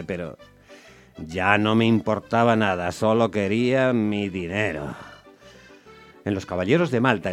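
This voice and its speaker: Actor as low-voiced, grumbling taxi driver over music. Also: male voice, close to an everyday voice. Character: working-class Roman taxi driver, man, weary, put-upon, grumbling. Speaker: first-person narrator and lead actor. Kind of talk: radio drama, dramatised storytelling. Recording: music under the voice.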